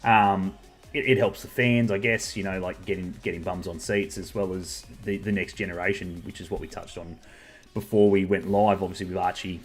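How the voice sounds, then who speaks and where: A man's voice: low voice, fairly even intonation, moderate pace, soft background music. An Australian man hosting a podcast, talking in a rambling, chatty way with many fillers. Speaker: podcast host. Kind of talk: casual chat.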